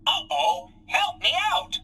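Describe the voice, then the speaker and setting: A man voicing a cheerful robot bus driver from a video game, chirping slow announcements in a raspy, mechanical voice. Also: very high voice, big pitch swings, bright, forceful tone, slight background noise, full character voice. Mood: alarmed. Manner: chirpy, slow, sing-song public announcements. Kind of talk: video game character voice lines.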